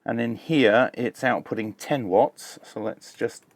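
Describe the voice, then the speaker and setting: A man hosting a hands-on tech demonstration, explaining each step in a measured, informal way. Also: mid-range voice, gravelly, no echo. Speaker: host of a radio tech review channel.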